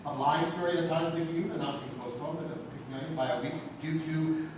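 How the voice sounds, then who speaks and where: Man as high, dramatic mystery narrator. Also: steady tone, quick pace, lightly acted. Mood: suspenseful. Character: male storyteller narrator of ghostly mysteries. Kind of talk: dramatic scripted narration, live radio theatre. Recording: echoey, noisy live theatre from far off.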